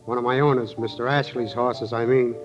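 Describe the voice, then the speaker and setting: An actor playing a guarded older American horse trainer, speaking tersely in 1940s radio style. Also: male voice, mid-range voice, fairly even intonation, fairly quick, close to an everyday voice. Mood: defensive, curt. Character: guarded, gruff older horse trainer, gentle-faced man. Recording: dull vintage radio recording, faint music.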